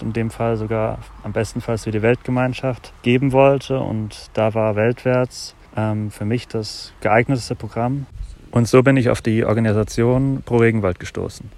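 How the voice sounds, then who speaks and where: Ein zwanzigjähriger Mann aus der Nähe von Kaiserslautern, der ernst und bedacht über seine Bewerbung spricht. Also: male voice, mid-range voice, a little mellow. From near Kaiserslautern, Germany. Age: 20s. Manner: earnest, measured. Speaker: young volunteer.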